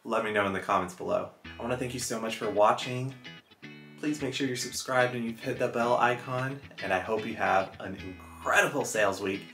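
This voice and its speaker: A man's voice: mid-range voice, fairly quick, a bit bright, reverberant, soft background music. A man in his thirties from Texas hosting a casual reselling vlog, chatting upbeat to the camera and reading out sales figures. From Houston, Texas. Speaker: reselling channel host. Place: small room indoors, plain wall. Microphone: fixed camera at chest-up framing.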